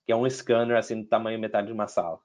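A man's voice: on the low side, flat delivery, racing, a little mellow. A middle-aged man with an American accent speaking Portuguese, telling his career story with many restarts and fillers and slipping in English words. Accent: American English, some Brazilian and European Portuguese. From United States. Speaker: investor guest. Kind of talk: casual interview talk.

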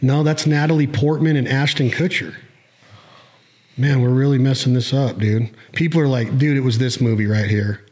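A man's voice: mid-range voice, fairly even intonation, moderate pace, a little mellow. A man hosting a podcast, chatting casually with a guest in a joking, self-doubting way. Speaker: podcast host.

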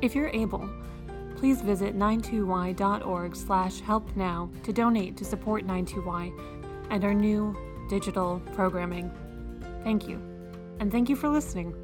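A woman's voice: medium pitch, moderate pace. A woman delivers scripted podcast narration as an announcer. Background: music under the voice.

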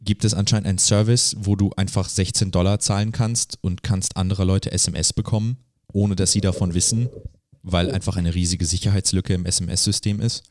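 A man's voice: low-pitched, little pitch movement, moderate pace, darkish tone, no room sound, studio quality. A man co-hosting a podcast in casual, informal chat, dropping in English slang. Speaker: podcast co-host.

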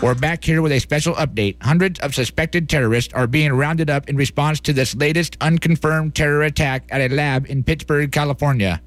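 A man's voice: a little high, brisk, few pauses, faint music behind, voice pushed up, natural voice. A man playing an alarmist newscaster, urgent and overblown, in a parody breaking-news bulletin. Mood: alarmed. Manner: urgent, dramatic.

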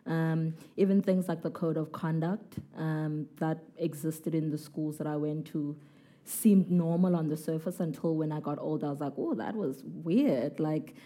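A South African woman in her twenties, a guest in an interview, who answers in a relaxed, hesitant way with many fillers. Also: low-pitched, normal speed. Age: 20s.

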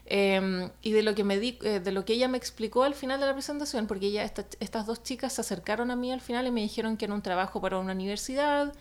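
A woman's voice: mid-range voice, fast, hardly any pauses. A woman, an illustrator telling a personal story in a casual chat, hesitant with many fillers.

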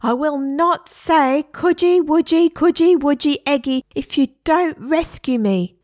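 A woman voicing a whiny, stubborn male pet parrot in a read-aloud story, with a squeaky complaining voice. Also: unhurried, a little mellow, no echo, studio quality.

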